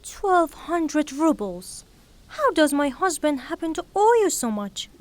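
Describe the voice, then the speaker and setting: An actress playing a proud, melodramatic grieving widow in a theatrical audio drama, speaking in a formal, haughty way. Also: female voice, high voice, big pitch swings, unhurried. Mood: cool, formal.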